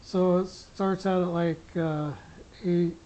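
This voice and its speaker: A middle-aged hobbyist giving a casual, unscripted gear demonstration, rambling and often pausing mid-sentence. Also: mid-range voice, steady tone, dark tone, dull audio. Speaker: amateur radio hobbyist giving a gear demo. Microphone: small camera pinned to t-shirt. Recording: noisy clip-on camera sound with clicks.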